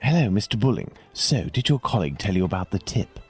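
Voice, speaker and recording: A self-assured English gentleman detective in a video game. His voice is very low but moves with lively intonation, formal and deliberate as he reasons, with music underneath. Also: husky, heavily acted. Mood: coolly persuasive. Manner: deliberate, formal, deductive phrasing. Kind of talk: scripted video game acting.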